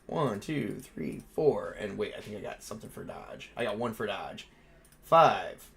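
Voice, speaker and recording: A man narrating a tabletop roleplaying game casually, with animated, informal storytelling as game master and player. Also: on the low side, a little slow, vocal fry, husky.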